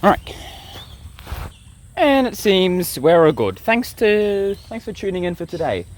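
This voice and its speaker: A man's voice: high voice, a little slow, slight background noise. Young guy vlogging in the bush, chill rambling chat, crickets going in the background. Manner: rambling, relaxed, talks straight to viewers. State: sick, blocked nose and sore throat. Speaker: bushcraft vlogger. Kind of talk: casual outdoor vlog. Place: outdoors in the bush.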